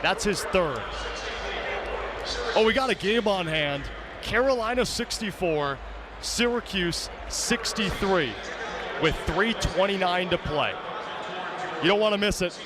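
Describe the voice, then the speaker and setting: Young high-pitched male sports broadcaster. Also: lively intonation, unhurried, long pauses, crowd noise. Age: young adult. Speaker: sports broadcaster, play-by-play announcer and anchor. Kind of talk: sports commentary and presenting.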